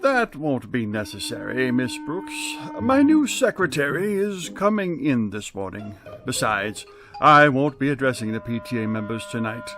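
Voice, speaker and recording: An actor playing a grouchy, pompous school principal in a comedic radio play, with a blustering manner. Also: male voice, medium pitch, very animated, normal speed, music in the background. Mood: brisk, self-important. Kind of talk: comedic old-time radio play acting.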